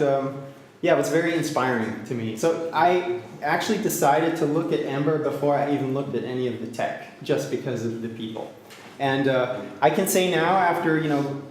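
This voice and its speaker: A man from Austria, giving a tech talk to a meetup crowd in English, halting, with many fillers and restarts, and a joking, self-deprecating manner. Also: a little high, darkish tone. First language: German. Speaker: conference speaker. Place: large echoey hall with a crowd. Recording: very echoey hall, faint noise.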